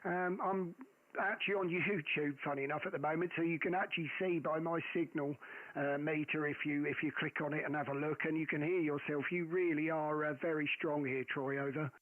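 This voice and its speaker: A man who runs a ham radio stream, chatting casually with a loud voice and lots of fillers and restarts. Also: on the high side, fairly even intonation, a little fast, no echo. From England. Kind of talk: casual radio contact chat. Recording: tinny radio audio, dry.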